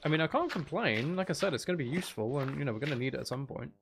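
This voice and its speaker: A man's voice: a little high, expressive, rapid-fire, creaky, noisy background. A man giving casual, rambling gameplay commentary, thinking aloud as he plays. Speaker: gaming video creator playing Minecraft.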